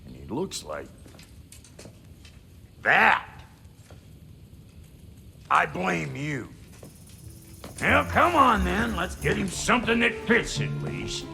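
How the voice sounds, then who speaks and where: Game dwarf smith: gruff folksy American, gravelly, highish and very animated, cranky teaser. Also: male voice, slow pace, long pauses, faint music behind, heavily acted. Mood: gruff indignant. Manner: gruff, teasing, folksy backwoods grammar. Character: cranky, foul-mouthed folksy male dwarf blacksmith. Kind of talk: video game character acting.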